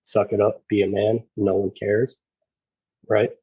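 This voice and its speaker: A man with a lowish, husky voice speaks in a flat, even line, earnest and halting as he restarts his thoughts. The sound is dry and close but dull and lo-fi, as if the recording is muffled. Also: dry recording. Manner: earnest, halting, many restarts and "you know"s. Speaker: podcast guest, former federal officer and navy corpsman. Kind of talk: casual conversation.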